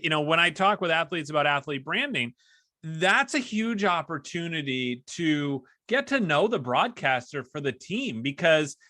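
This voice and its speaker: A man's voice: on the high side, lively intonation, moderate pace, pushed and bright, no echo, clean studio sound. A man hosting a conversational interview podcast, explaining and giving advice. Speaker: podcast host, former broadcaster and athlete branding consultant.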